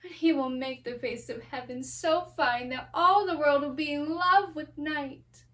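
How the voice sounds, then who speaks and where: A woman's voice: high-pitched, measured pace. A woman playing an impatient, lovestruck young noblewoman, yearning and passionate in a classical verse stage monologue, with dramatic, lingering delivery. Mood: impatient longing. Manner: dramatic, lingering, swelling from hushed to fervent. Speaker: drama student performer. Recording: distant microphone.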